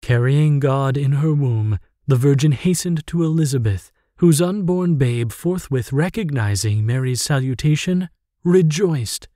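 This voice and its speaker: A man's voice: a little high, dark tone, no room sound, studio quality. A man reciting a liturgical hymn in a reverent, formal, chant-like manner.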